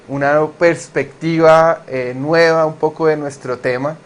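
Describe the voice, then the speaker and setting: A man hosting a recorded class module, who talks in a friendly, chatty way with frequent fillers and introduces his guest. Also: fairly high pitch. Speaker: course instructor, host. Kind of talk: hosted class interview.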